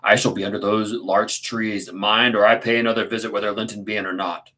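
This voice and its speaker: A man's voice: level tone, a little fast, pushed and bright. A brooding man in love as a male reader acts him, tormented and fierce. His delivery is intense and anguished, in the manner of a dramatic storybook reading. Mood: grim resolve. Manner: intense, anguished, low-spoken. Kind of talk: dramatic audiobook character reading.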